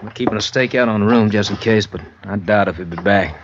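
Man playing a low-voiced, businesslike police lieutenant. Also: fast, natural voice. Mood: matter-of-fact. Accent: American. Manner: plain, businesslike. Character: matter-of-fact male police lieutenant. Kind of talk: 1950s radio drama acting. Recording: muffled old radio recording, faint noise.

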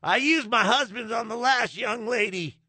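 A man putting on the voice of a stoned woman with a smoker's rasp who does cheap back-alley cosmetic injections and sweet-talks her clients. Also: very high-pitched, normal speed, sharp tone, no echo, exaggerated voice. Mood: sleazy, cajoling. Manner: sleazy, reassuring patter to a client.

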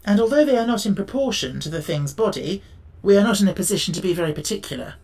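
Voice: medium pitch, lively intonation, fast talker, darkish tone, voice pushed up, close to an everyday voice. A storybook reader voicing a practical, self-assured man made of tin, formal and matter-of-fact.

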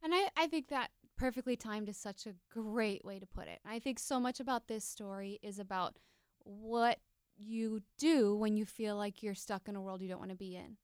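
A woman's voice: mid-range voice, normal speed, clean tone, no echo, clean studio sound. A woman speaking as a guest in a casual panel chat. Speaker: podcast guest, stage performer.

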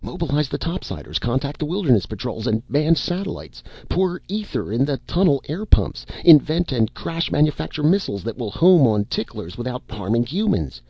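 A man voicing a terrified, frantic grown man whose voice strains and goes shrill as he pleads. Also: sing-song, moderate pace, slightly rough, no room sound, highs cut off, heavily acted. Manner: pleading, breaks into high frantic cries.

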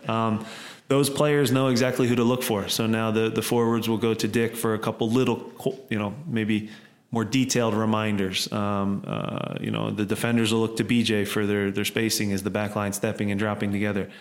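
A male soccer coach answers interview questions in a low, level voice that hardly moves in pitch. He rambles along, stacking up fillers and restarting his sentences. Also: flat delivery, brisk. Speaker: head coach of a soccer club.

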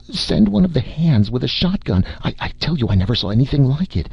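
A man's voice: mid-range voice, big pitch swings, brisk, gravelly, breathy edge, no echo, voice pushed up, full character voice. A man reading aloud, voicing a terrified mother who stammers in panic, in a slightly muffled dry recording. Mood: panicked. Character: terrified woman, frantic mother.

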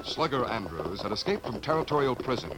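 Dramatic, animated American radio announcer, male. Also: mid-range voice, a little fast, noisy background. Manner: dramatic, declamatory. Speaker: announcer and narrator. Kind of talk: 1950s radio scripted narration.

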